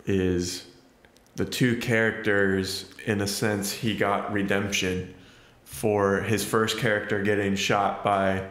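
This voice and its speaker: A man's voice: on the low side, flat delivery, slow pace, a bit bright, reverberant. A man co-hosting a casual movie review podcast, rambling with lots of fillers. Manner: rambling, many fillers like "like" and "you know". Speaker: podcast co-host.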